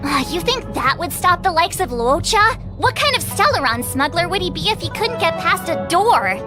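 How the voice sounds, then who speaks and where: A woman voicing an excitable, theatrical young girl detective in a video game, playful and dramatic. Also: very high-pitched, moderate pace, music in the background. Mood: musing, determined. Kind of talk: animated video game voice acting.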